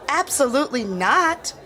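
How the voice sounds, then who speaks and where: A woman's voice: high voice, very animated, slow pace, pushed and bright, exaggerated voice. A woman playing a dry, probing wedding guest questioning a couple. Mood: skeptical.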